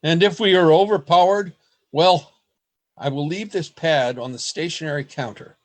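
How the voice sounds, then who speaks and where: A man's voice: a little high, close to an everyday voice. An actor playing a sensitive, desperate man in a radio play, narrating his last journal with earnest melodrama. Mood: grim, urgent. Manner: earnest, melodramatic, deliberate delivery.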